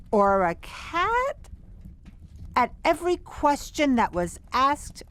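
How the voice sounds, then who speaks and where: A woman narrating an audio drama as a storyteller, with measured, deliberate delivery. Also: on the high side.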